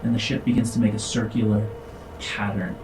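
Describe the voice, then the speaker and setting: A man narrating a tabletop game as game master, improvising descriptions in a halting, informal way. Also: lowish voice, flat delivery, a little mellow, distant mic, slight background noise, low-quality recording. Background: music.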